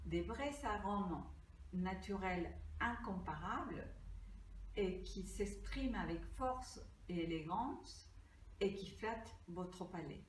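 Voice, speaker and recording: An older woman from Peru, a pastry cookbook author, gives an earnest, deliberate crowdfunding pitch, reading partly from her notes. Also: animated, a little hiss or hum. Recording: echoey room, mic at a distance.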